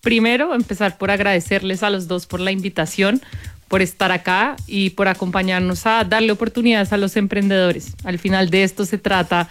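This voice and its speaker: A woman with a Bogotá Colombian accent, a guest on a talk program, explaining her work in measured, step-by-step answers. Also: medium pitch, fairly even intonation, faint music behind. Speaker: guest, corporate sustainability manager.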